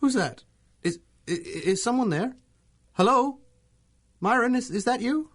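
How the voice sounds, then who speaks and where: A man's voice: very high voice, expressive, measured pace, loud music behind the voice. A man playing a timid, self-deprecating bug scientist in a 1930s-style radio drama, stammering and fussing meekly. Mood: startled, nervous. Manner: stammering, fussy, nervous chuckles, meek exclamations. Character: timid, flustered, self-deprecating male scientist, mild entomologist.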